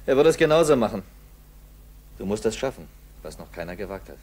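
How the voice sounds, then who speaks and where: An actor playing a gruff but supportive military adjutant in a 1960s German radio play, speaking emphatically. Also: male voice, on the low side, very animated, fairly quick, darkish tone. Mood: urging. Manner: emphatic, hearty.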